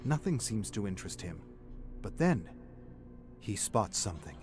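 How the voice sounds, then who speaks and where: An actor narrating a dramatic story in a solemn, measured way as a storyteller. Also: male voice, lowish voice, very animated. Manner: solemn, measured storytelling that also voices the characters' dialogue. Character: solemn storyteller narrating a young man's troubled memories. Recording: background music under the narration.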